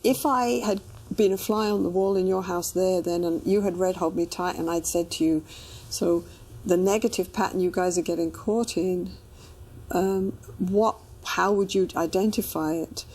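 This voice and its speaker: A woman's voice: fairly low pitch, steady tone, normal speed, a little mellow, slightly rough, rough recording. A woman therapist questioning clients in a conversational way. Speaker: therapist interviewing a couple.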